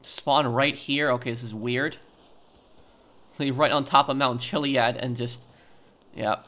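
A man giving casual gameplay commentary in a hesitant, halting way full of ums. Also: medium pitch, steady tone, sharp tone. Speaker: gamer, video host.

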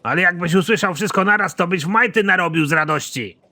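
Male actor as exasperated cartoon general, middle-aged, sharp forceful tone, somewhat high, sardonic and crude. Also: fast. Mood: exasperated, insistent. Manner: blunt, sardonic, swearing. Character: foul-mouthed, exasperated army general, middle-aged man, absurdly pompous. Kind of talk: animated comedy series dialogue.

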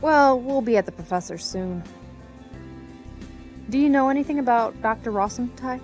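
A woman's voice: a little high, normal speed, darkish tone, close to an everyday voice. Woman playing a chatty young grad student, faint music. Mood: chatty, candid. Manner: conversational, candid. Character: chatty, candid young female graduate student. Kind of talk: audio drama acting.

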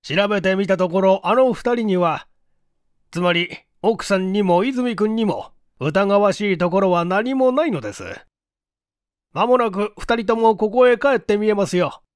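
A man's voice: high-pitched, animated, dry recording, clean studio sound. A man voicing a shrewd, self-assured police chief who questions politely but emphatically, in a story reading. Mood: reassuring. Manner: deliberate, emphatic, leading questioning. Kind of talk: acted dialogue in story reading.

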